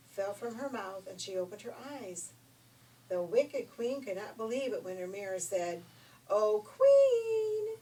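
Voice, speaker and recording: A woman's voice: mid-range voice, darkish tone. An older woman, a grandmother telling a fairy tale informally in a conversational, folksy, emphatic way. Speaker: grandmother storyteller. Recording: distant mic, faint background noise.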